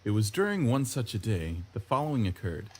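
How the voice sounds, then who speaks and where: A man's voice: fairly low pitch, big pitch swings, brisk, a little mellow, a little hiss or hum. A man reading first-person visual novel narration aloud in a wry, reflective way. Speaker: let's play host reading aloud. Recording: guitar music behind the voice. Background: plucked guitar music.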